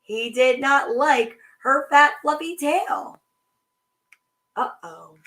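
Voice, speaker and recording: Woman, storybook reader, bright voice, slightly high, sing-song delivery. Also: extremely slow. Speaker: storytime host reading children's books. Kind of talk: reading picture books aloud with chatty asides.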